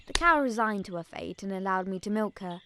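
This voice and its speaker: A woman playing an eager young Punjabi village girl, telling her story in expressive first-person dramatic narration. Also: mid-range voice, fairly quick, background noise, close to an everyday voice. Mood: earnest.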